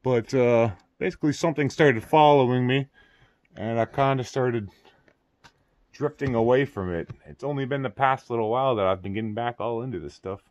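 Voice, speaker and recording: A middle-aged man giving casual, halting, off-the-cuff commentary as he walks around outdoors with a handheld camera. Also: medium pitch, no room sound. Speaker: exploration vlogger. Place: outdoors in snowy burned-out house ruins. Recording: clearly noisy outdoor recording with clicks.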